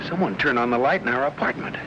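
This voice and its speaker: An actor playing a steady, protective, mildly exasperated husband and amateur sleuth in an old-time radio mystery. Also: male voice, mid-range voice, fast, muffled recording, noisy background, natural voice. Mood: urgent. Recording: old radio broadcast with music.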